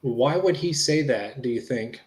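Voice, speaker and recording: A man's voice: medium pitch, unhurried, natural voice. A man reading the part of a reassuring, plain-spoken investigator in a conversational dramatic reading of a police interview. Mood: calm, supportive.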